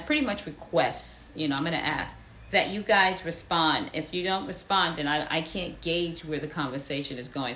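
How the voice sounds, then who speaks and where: A woman's voice: deep voice, bright, forceful tone, slight background noise. A woman in her fifties hosting a live audio room, reading out listener comments and repeating her questions to the crowd with emphasis. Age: 50s. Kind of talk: live audience chat.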